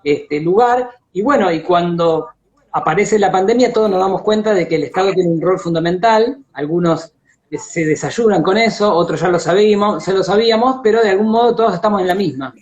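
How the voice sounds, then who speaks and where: An Argentine man hosting a casual, reflective live conversation over a video call, talking haltingly with frequent tag questions. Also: sits high. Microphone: live social media video call. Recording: dull lo-fi internet call audio.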